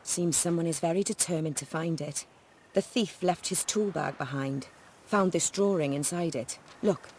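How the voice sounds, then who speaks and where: Courteous, firm society hostess. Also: female voice, deep voice, moderate pace, a little mellow. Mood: observant. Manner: polite, formal, firm. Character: discreet, self-possessed high-society hostess, courteous but firm. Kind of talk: video game dialogue acting. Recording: faint background noise, ambience.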